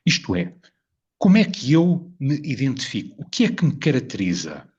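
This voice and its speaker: Husky-voiced Portuguese man, measured and reflective. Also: mid-range voice, wildly swooping pitch, a little mellow, highs cut off. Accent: European Portuguese. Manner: measured, poses rhetorical questions. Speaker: video presenter. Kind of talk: reflective spoken essay.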